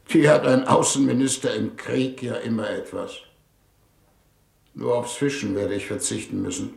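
An actor playing a pompous, world-weary older foreign minister in a 1950s German radio drama, with languid, deliberate delivery. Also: male voice, on the low side, vocal fry, full character voice.

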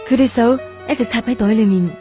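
A woman's voice: fairly high pitch, quick pace, natural voice. An actress playing an anxious, curious young woman recovering from surgery in a radio drama, speaking Hong Kong Cantonese in a hesitant, apologetic, questioning way. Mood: pensive, uneasy. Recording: radio drama with loud music underneath. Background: music.

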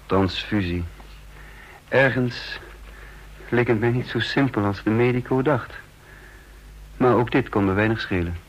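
A man's voice: mid-range voice, unhurried, long pauses, lo-fi sound, close to an everyday voice. An actor playing a tense, wounded secret agent who narrates his own mission in a radio drama. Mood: weary, detached. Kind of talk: radio drama acting and first-person narration.

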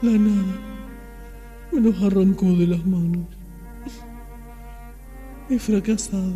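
A man playing a despairing gentle giant in a radio drama, soft-voiced, mournful and resigned. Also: sits high, fairly even intonation, slow pace, lo-fi sound. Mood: defeated, mournful. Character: despairing gentle giant with big strong hands. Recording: long reverb with string music.

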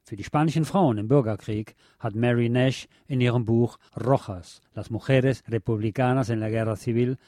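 A man reading a scripted historical feature as a radio presenter, in a measured, factual documentary manner. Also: medium pitch, mellow, dry recording, studio quality. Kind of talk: scripted narration.

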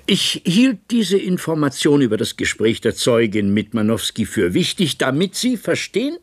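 A sober, troubled official recounting events in a 1970s German radio drama. His voice is husky and animated, formal and deliberate, recorded dry and close. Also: mid-range voice, dry recording. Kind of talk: 1970s German radio play acting, narrated testimony.

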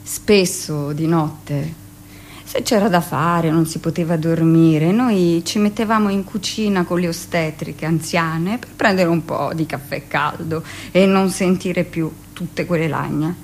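A brusque middle-aged midwife, confiding. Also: female voice, fairly low pitch, a little hiss or hum. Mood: wistful, confiding. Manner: conversational, dramatic pauses, shifts into mimicked speech. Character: 45-year-old hospital midwife, brusque, gossipy, recalling her past. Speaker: actress in a radio monologue. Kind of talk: theatrical first-person monologue, confiding storytelling.

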